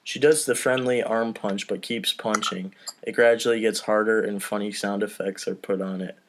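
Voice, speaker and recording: A man's voice: on the low side, fairly even intonation, moderate pace, noisy background. A man narrating script directions on a comedy podcast, slipping into casual asides. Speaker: podcast host reading stage directions.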